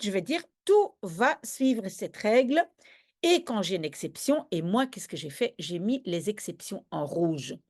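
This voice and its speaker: Lively woman teaching step by step. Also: mid-range voice. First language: Dutch. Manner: patient, step-by-step, checks understanding often. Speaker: language teacher. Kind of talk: explaining grammar in a video lesson.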